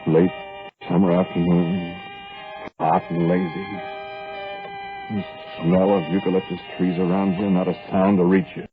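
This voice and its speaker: An actor playing a hard-bitten robber on the run, narrating to the listener in a gruff, clipped 1940s radio drama style. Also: male voice, deep voice, flat delivery, unhurried, long pauses, music in the background. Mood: bitter. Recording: tinny old radio broadcast.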